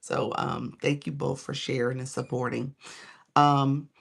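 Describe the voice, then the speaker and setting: Hoarse, gravelly-voiced woman coach. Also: extremely low pitch, little pitch movement, a little slow. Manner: greets viewers by name between points. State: hoarse and tired. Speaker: live streaming coach. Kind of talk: casual live stream chat.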